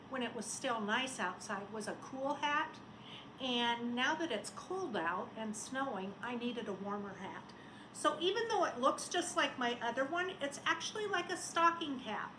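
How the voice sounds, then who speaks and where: A woman, a schoolteacher, talking and reading aloud to young children in simple, expressive phrases. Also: a little high. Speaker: elementary school teacher. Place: indoors at home. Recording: roomy home recording, faint noise.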